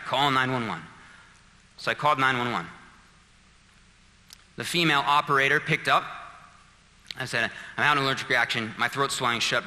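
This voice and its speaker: A man's voice: medium pitch, pushed and bright, big-room sound, low-quality recording. A man in his thirties preaching a sermon, telling a personal story and building to fervent, emphatic appeals. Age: 30s. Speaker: pastor.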